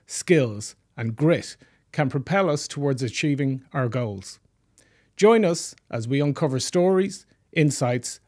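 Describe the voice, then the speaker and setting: A man hosting a podcast, reading a scripted intro and then interviewing a guest in a friendly, encouraging way. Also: mid-range voice. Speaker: podcast host.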